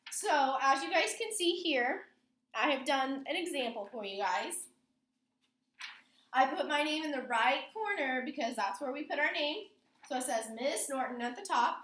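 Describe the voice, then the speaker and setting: High, bright-voiced woman teaching a class. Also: lively intonation, moderate pace. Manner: instructing, asks prompting questions. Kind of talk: classroom lesson with poem read aloud. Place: indoor classroom. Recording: roomy, echoing classroom sound.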